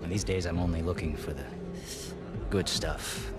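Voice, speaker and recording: A man acts out a smug, wealthy young villain from an adventure game. His voice sits low and carries sneering menace, with loud music underneath. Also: steady tone, moderate pace, close to an everyday voice. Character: smug, threatening wealthy young American treasure hunter. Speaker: game character voice actor. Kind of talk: video game cinematic acting. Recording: orchestral music behind.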